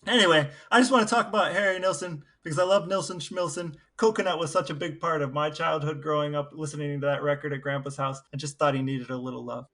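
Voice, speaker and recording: Man, somewhat high-pitched, podcast co-host, casual chat, conversational, anecdotal. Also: steady tone, quick pace, few pauses, bright, forceful tone.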